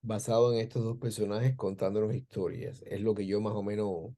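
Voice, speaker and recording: A man's voice: fairly low pitch, steady tone, a little fast, dark tone. A man hosting a conversational interview, phrasing his questions in a hesitant, circling way. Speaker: interview host, film director.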